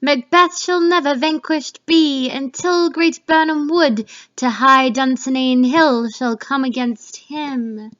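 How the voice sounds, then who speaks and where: A woman's voice: sits high, steady tone, slow, a bit bright. A woman voicing eerie, commanding witches and prophetic apparitions in a Shakespeare dramatic reading, with emphatic, incantatory delivery. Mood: bold, prophetic. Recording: dull lo-fi home recording.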